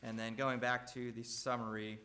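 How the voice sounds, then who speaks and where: A man from a construction company presenting bid recommendations at a school board meeting, speaking loudly and steadily with frequent fillers. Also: mid-range voice, normal speed. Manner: loud, steady, even delivery with frequent "uh".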